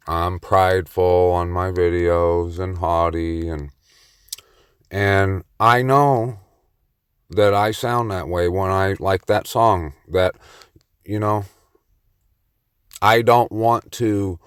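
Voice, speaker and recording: Male vlogger, low and unhurried, clear close dry voice, cocky rambling brag. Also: steady tone, no room sound. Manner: boastful and playfully cocky; slow, meandering delivery. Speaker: solo vlogger on the mic. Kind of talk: rambling self-recorded monologue.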